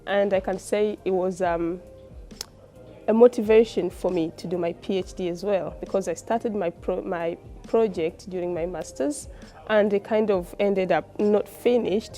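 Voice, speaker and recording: Female, Tanzanian accent, soft dark tone. Student testimonial, informal and explanatory. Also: fairly low pitch, steady tone, moderate pace, faint music behind. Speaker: postgraduate student.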